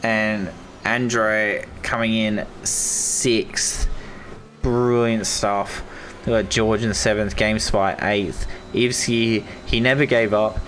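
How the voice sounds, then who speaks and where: Young Aussie caster, deep and hoarse, upbeat slangy race calls. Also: male voice, flat delivery, slow pace, fairly bright tone, a little hiss or hum. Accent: Australian. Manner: enthusiastic, repeats praise, casual slang. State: hoarse, losing his voice. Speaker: esports race commentator. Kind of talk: live race commentary.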